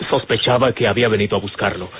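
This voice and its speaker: A man plays a respectful young Mexican man who explains himself carefully and earnestly. His voice is fairly low, in an old radio drama with a tinny, narrow sound and faint noise. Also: fast talker, lightly acted. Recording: noisy, narrow-band old radio recording.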